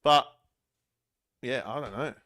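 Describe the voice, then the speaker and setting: A man's voice: medium pitch, slow, sharp tone. An Australian man co-hosting a casual podcast, talking in an emphatic, insistent, slangy way. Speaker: podcast co-host. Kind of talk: casual, opinionated chat.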